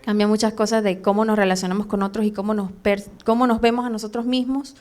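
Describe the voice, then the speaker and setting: A Venezuelan woman with a Venezuelan accent teaching a church training class live, chatty and hesitant with many fillers and soft laughs. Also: medium pitch, flat delivery, fast talker. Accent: Venezuelan Spanish. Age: adult, has a son. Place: church meeting room.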